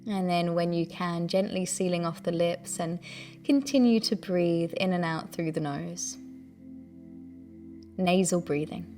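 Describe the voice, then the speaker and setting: A woman leading a guided breathing meditation, giving gentle, soothing instructions in a calm, unhurried way. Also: fairly low pitch, faint music behind.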